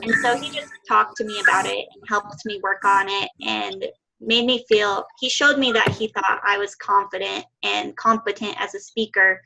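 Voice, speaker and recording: A young woman giving a class presentation over a video call, with a high voice and a slight lisp. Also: steady tone, normal speed, vocal fry, bright, forceful tone, faint music behind, rough recording. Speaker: student presenter.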